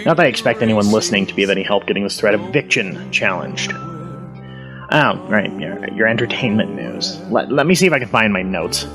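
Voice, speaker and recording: A man acts out a washed-up, boozy Hollywood gossip reporter for a spoof news podcast. His lowish male voice stammers, loses the thread and drifts into rambling digressions, all over prominent music. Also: sing-song, a little fast. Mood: flustered. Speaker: entertainment reporter. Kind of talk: satirical fake news segment.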